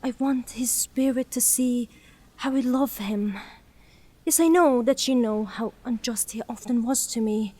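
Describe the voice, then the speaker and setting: An actress playing a proud, grieving young widow, stubbornly faithful and melodramatic, in a theatrical audio drama. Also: female voice, on the high side, steady tone, unhurried, mellow. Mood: indignant, devoted.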